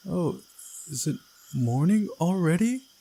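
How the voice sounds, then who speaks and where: A man voicing a confused, groggy young man from a visual novel, speaking in a halting, bewildered way. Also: a little high, expressive, warm tone, breathy edge, pitched above the performer's own voice, exaggerated voice.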